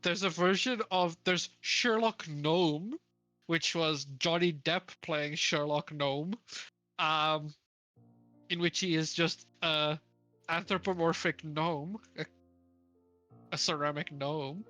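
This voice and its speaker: A woman's voice: sits low, fairly even intonation, slow pace, fairly bright tone, no echo, soft background music. A woman co-hosting a book club stream, chatting casually, rambling and stopping to search for names. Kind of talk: casual chat.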